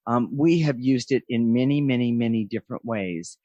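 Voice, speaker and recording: A man's voice: medium pitch, normal speed, mellow, no room sound, lo-fi sound. Male radio co-host, very dry sound. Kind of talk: casual talk radio conversation.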